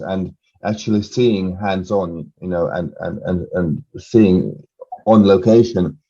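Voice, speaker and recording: Low warm-voiced finnish guy on a podcast, lots of so so and that that, muffled lo-fi audio. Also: slightly rough. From Finland. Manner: halting, stacks up repeated words. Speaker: documentary producer, guest. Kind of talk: casual interview answers.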